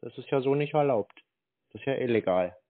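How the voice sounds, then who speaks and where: A man's voice: medium pitch, lively intonation, brisk. A man in a phone conversation, speaking bluntly and insistently in a colloquial way. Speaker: caller confronting a call centre. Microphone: telephone line. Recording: tinny, narrow-band phone call recording.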